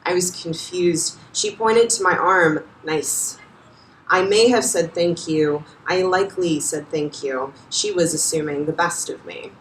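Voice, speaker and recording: A woman reading a personal essay aloud to an audience, with a measured, low and even delivery. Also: slight background noise. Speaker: reader at a nonfiction reading. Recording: roomy, distant live venue sound.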